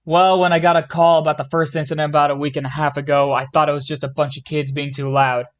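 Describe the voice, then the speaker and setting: A man's voice: on the high side, flat delivery, fast, highs cut off, natural voice. A man playing a police lieutenant giving scripted interview answers in a plain, matter-of-fact way.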